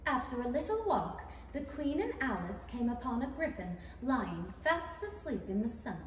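A woman's voice: fairly high pitch, sing-song, moderate pace, slight background noise. A woman narrating a storybook radio play from a script in an echoey hall. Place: small theatre stage. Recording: echoey hall, distant mic.

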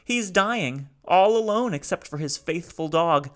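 A man voicing an old male alien machine creature, rasping and anguished as he pleads to be killed. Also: high voice, expressive, a little slow, fairly bright tone, pitched above the performer's own voice. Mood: despairing plea.

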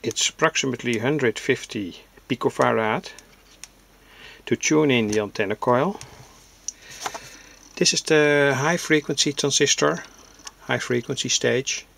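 A man talks in a mid-range voice full of vocal fry. He explains technical details plainly and haltingly, as a hobbyist would at his workbench. A faint noise sits behind him on the camera's microphone. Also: medium pitch, fairly bright tone. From Netherlands. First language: Dutch. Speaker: hobbyist radio builder, presenter. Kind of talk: unscripted technical demonstration. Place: indoors at a home workbench. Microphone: handheld camera's built-in microphone.

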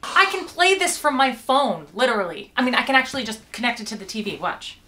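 A young woman chats casually on a living-room couch and laughs easily. Her voice sits a little high. She comes across as talkative and relaxed. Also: fast. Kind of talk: casual chat.

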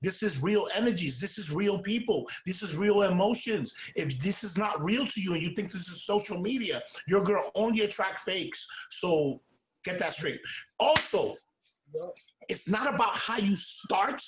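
A man's voice: high voice, animated, moderate pace, reverberant, low-quality recording. A man with a Castilian Spanish accent, a streamer guest on a video call, giving punchy motivational talk that repeats its slogans. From Cuban, Haitian and Ecuadorian family.

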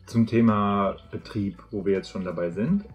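Low-voiced German host, easygoing. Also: male voice, moderate pace, dull audio, soft background music. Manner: agreeable, backchannels often, relaxed asides. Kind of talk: casual interview chat. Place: bright office room with plants.

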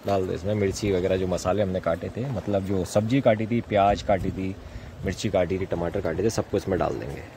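A man's voice: low voice, fairly quick, dark tone. A man in his thirties from India, chatting casually to viewers as he cooks outdoors by a river, filmed on a phone held at arm's length. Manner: chatty, addresses viewers as friends. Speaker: vlogger. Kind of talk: casual outdoor cooking vlog. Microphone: phone camera at arm's length. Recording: noisy outdoor phone recording.

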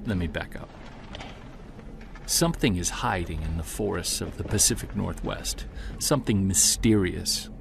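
A man playing a driven, defiant Bigfoot hunter narrating an audio-drama journal with earnest intensity and emphatic pauses. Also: low voice, creaky, background noise. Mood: grave, mysterious.

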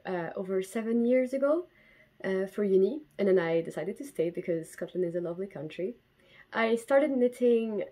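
A woman's voice: medium pitch, mellow. A woman in her twenties from Belgium, chatting casually to camera at home as a knitting podcast host, with frequent fillers and restarts. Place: bedroom at home, indoors. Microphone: camera in front at desk distance.

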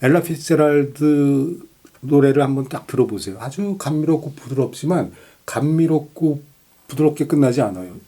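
A man's voice: fairly high pitch, lively intonation, moderate pace, dark tone, breathy edge. A middle-aged man in casual conversation as a co-host of a music talk show, speaking effusively and repeating himself for emphasis. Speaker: podcast co-host.

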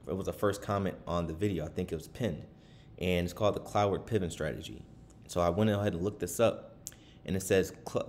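Deep-voiced man rambling through commentary. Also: slight background noise. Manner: rambling, many fillers and restarts, reads aloud from a web page. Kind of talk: casual screen-share commentary.

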